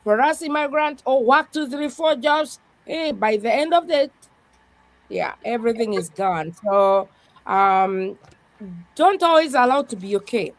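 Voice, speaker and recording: A woman's voice: a little high, lively intonation, unhurried, dry recording, rough recording. A woman pastor speaking earnestly in a conversation, with a strong voice, who often adds "yeah" as she talks. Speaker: pastor, guest. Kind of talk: podcast conversation, reflective.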